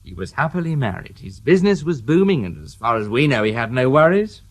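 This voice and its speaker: An actor playing a weary, dry-witted police detective inspector in a radio drama. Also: male voice, medium pitch, big pitch swings, a little fast. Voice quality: dry, understated, wry.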